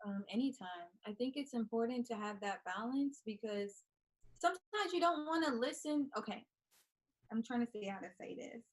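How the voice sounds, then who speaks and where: A woman in her twenties from Texas with a Texan accent, a guest in a live-stream chat, soft-spoken and hesitant. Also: on the high side, normal speed, low-quality recording. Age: 20s.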